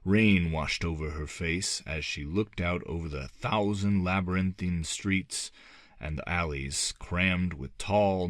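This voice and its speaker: A man reading a gothic fantasy audiobook as scripted narration, with measured, dramatic phrasing that often drops softly at the ends of phrases. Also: low voice, no room sound, clean studio sound.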